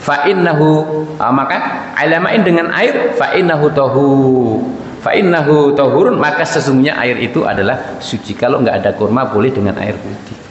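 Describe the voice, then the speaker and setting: A man's voice: mid-range voice, moderate pace. A man preaching informally to a congregation in a conversational, joking way, putting questions to his listeners. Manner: recites short Arabic quotations. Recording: muffled, noisy, echoey hall sound.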